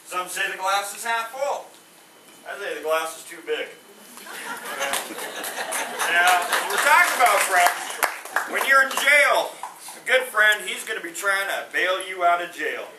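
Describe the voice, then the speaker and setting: A man's voice: sits high, animated, a little slow, pauses often. A man doing stand-up one-liners and corny puns without a microphone, his punchlines trailing off sheepishly. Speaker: stand-up comedian. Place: veterans home hall. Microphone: no microphone, far from the recorder. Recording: roomy hall from a distance, noisy.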